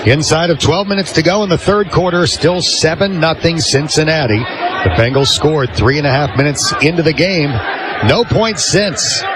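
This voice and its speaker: Higher-voiced man calling live football. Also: moderate pace, a crowd in the background, background noise. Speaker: football play-by-play announcer. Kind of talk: live radio sports commentary.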